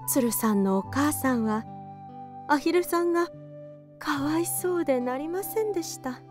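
A woman narrating a children's storybook tale in an animated read-aloud manner. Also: high-pitched, moderate pace. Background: children's background music.

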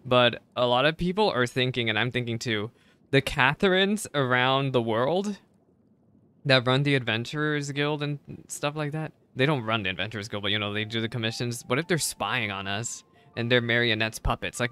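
A young man streaming games, giving casual reaction commentary in a chatty, halting way with repeated words. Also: medium pitch, animated, bright, forceful tone, clear, resonant tone. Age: young adult. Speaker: game streamer. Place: indoor streaming setup. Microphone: close desk microphone, headset worn.

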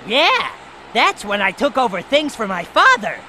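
A man's voice: extremely high pitch, huge pitch swings, measured pace, bright, forceful tone, a little hiss or hum, full character voice. An actor playing a cocky young male hawk who leads a gang and talks in a brash, impatient way.

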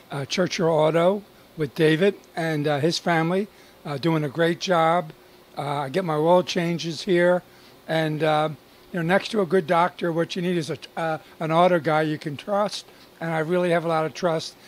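Gravelly man with a halting testimonial. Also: fairly high pitch. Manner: halting, many fillers. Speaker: customer. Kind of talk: testimonial to camera. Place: small auto shop office indoors. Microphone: handheld mic.

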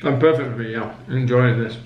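A man's voice: medium pitch, fairly even intonation, dull audio. Cheerful young Englishman chatting over dinner. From England. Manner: cheerful, repeats new phrases slowly and carefully. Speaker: co-host of a food vlog. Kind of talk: casual chat. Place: bright dining room indoors, at a table. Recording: echoey room, faint background hiss.

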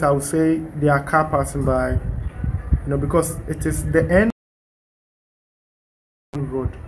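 A man's voice: a little high, slight background noise, low-quality recording. A young man, chatting casually to his vlog audience, filmed on a phone held at arm's length outdoors at a building site, talking loosely with fillers and restarts. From Ghana. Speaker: vlogger.

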